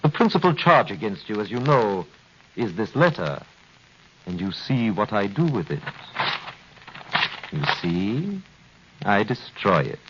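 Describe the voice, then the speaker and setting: A man's voice: fairly low pitch, very animated, a little slow, pauses often. A man playing an authoritative, calculating royal prosecutor with a clipped, formal manner, in 1930s radio drama style. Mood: grave, controlled. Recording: muffled, noisy 1930s radio recording.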